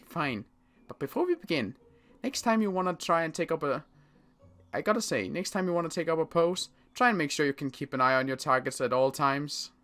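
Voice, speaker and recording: A player acting as a wary ex-military sniper in a zombie game roleplay. The voice is bright and forceful, swinging up and down in pitch as the character grumbles. Delivery is halting and exasperated, with little trust for anyone. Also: expressive, faint music behind, lightly acted. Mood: guarded, grudging. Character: gruff, distrustful ex-military sniper, easily frustrated. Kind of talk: improvised Minecraft zombie roleplay.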